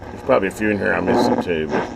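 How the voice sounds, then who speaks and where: A man's voice: deep voice, racing, vocal fry. A man casually talking through a hands-on demo as host, offhand and muttering to himself as he counts. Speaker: video host reviewing a radio. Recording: loud static and hum behind voice. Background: shortwave radio noise.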